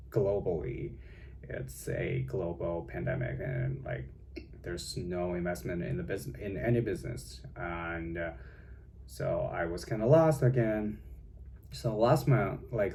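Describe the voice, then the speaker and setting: A young Chinese man speaks English, his second language, in a low, gravelly voice with a clear Chinese accent. He talks slowly and loosely, full of "uh" and "like" and restarts. A faint hiss sits under the home recording. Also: dark tone. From China. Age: young adult. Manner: rambling, many fillers and self-corrections. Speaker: vlogger. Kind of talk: casual vlog chat. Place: home with cats.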